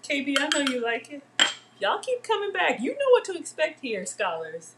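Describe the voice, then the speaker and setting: A woman's voice: sits high, huge pitch swings, normal speed, heavy background noise. A middle-aged woman hosting a casual live cooking demo from her home kitchen, chatting with viewers as she works. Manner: chatty, talks to viewers and names commenters. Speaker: home cooking livestream host. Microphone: fixed camera at counter distance.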